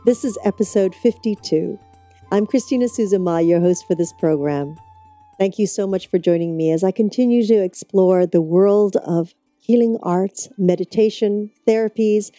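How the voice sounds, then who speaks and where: A woman hosts a wellness interview program. Her voice is deeply mellow and warm-toned, and the sound is dry, with no room echo. She is gracious and laughs readily with her guest. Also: normal speed, faint music behind. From Hong Kong. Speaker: host. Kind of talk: talk show interview.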